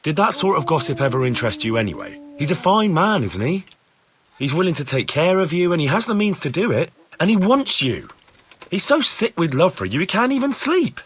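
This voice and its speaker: A man's voice: on the high side, very animated, a little fast, slightly rough. A man playing a plain-spoken, dutiful young blacksmith's son in a video game cutscene. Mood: urgent persuasion. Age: young adult.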